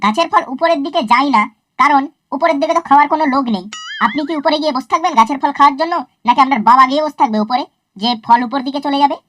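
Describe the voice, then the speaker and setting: A woman voicing a cheeky, foul-mouthed young schoolboy in a cartoon, talking in a quick, sassy and crude way. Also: high voice, fairly bright tone, no room sound. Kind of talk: cartoon voice acting.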